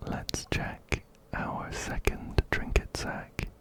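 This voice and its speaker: Slow, whispering man doing ASMR gaming. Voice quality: breathy close-up whisper. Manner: gentle, unhurried, soft-spoken. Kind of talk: ASMR game commentary. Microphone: close binaural microphone, ear to ear.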